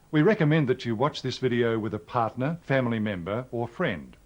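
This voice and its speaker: An Australian man presenting an educational film in a measured, explanatory, scripted style. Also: mid-range voice.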